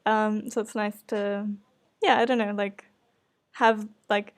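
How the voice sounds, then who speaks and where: A woman's voice: mid-range voice, little pitch movement, slow. A woman podcast host chatting casually in an earnest, hesitant, self-conscious way. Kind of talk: casual chat.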